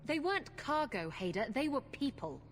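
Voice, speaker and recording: A woman's voice: high voice, very animated, normal speed, a little hiss or hum. A woman playing a brash, unrepentant pirate captain in a video game, wry, flippant and defiant. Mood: indignant. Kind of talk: video game character dialogue. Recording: loud music behind the voice.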